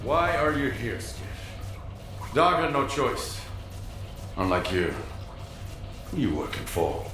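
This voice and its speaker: A man's voice: mid-range voice, big pitch swings, takes it slowly, pauses often, heavy reverb, over music. An actor playing a menacing, cryptic male interrogator in a video game, probing in clipped, ominous phrases. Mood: threatening. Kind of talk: video game cutscene acting.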